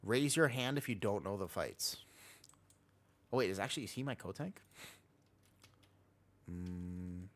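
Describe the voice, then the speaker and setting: A man's voice: lowish voice, lively intonation, unhurried, pauses often, fairly bright tone. A man chatting casually and slangily as he livestreams an online game, talking to his viewers and teammates in a laid-back way. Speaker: live streamer playing an online game. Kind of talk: casual livestream chat while gaming.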